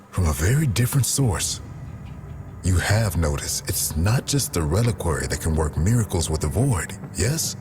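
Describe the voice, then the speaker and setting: A low, solemn war leader in a video game. He is weary and grave, choosing formal words with measured care. Clear music plays behind him. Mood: reflective. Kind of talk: video game dramatic acting.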